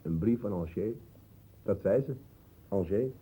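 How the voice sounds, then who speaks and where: An actor playing an easygoing but stubborn working-class lodger in a radio drama, offhand and husky. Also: male voice, on the low side, lively intonation, a little slow, mellow, a little hiss or hum. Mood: grumbling, offhand. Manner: laconic, offhand.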